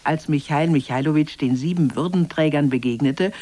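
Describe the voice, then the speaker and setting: A man's voice: a little high, normal speed, warm tone, slight background noise. A man narrating a scripted children's radio fairy tale as its storyteller.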